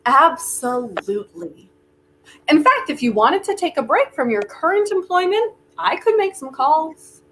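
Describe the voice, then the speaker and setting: A self-important aging tycoon who adores the theater, played by a woman. The voice is fairly high and takes its time, wry and coaxing as in a comic stage play. There is some light noise in the online recording. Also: very animated, unhurried, fairly bright tone, full character voice. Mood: flattering, persuasive. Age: older. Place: remote virtual reading. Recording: noisy online video call audio.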